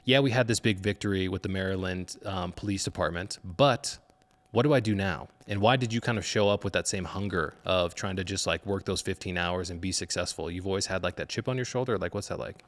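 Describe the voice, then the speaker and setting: A man's voice: low-pitched, fairly quick. A man hosting a podcast, posing long, reflective interview questions in an earnest, motivational way. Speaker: podcast host.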